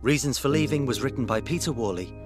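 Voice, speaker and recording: A man's voice: medium pitch, quick pace. A man reading a scripted announcement as a radio announcer. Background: guitar music.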